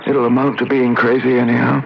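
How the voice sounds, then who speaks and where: An actor playing a tormented, weary man who claims to be possessed, speaking haltingly in a 1970s radio drama. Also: medium pitch, little pitch movement, vocal fry, lightly acted. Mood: guarded, evasive. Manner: halting, weary, pausing mid-sentence. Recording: muffled noisy vintage radio broadcast.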